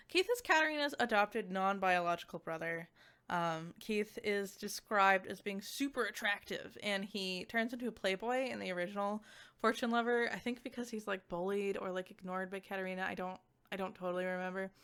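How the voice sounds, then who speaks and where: A woman giving a casual, conversational review to viewers, animated and peppered with "like" asides. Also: medium pitch, normal speed, clean tone. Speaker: visual novel reviewer.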